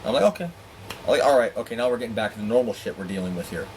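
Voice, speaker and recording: A man telling a personal story in a candid, profane, animated way, acting out dialogue with exasperated asides. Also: on the low side, racing, slight background noise, low-quality recording. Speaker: storyteller and host.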